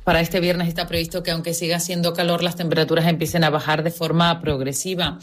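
A woman meteorologist giving a measured, informative weather report. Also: low voice, flat delivery.

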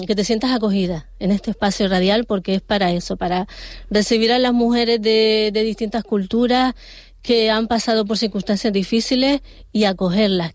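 A woman hosting a radio interview. She speaks gently and reassuringly, with many pauses and restarts. The studio sound is close and dry. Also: mid-range voice, no echo. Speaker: radio host.

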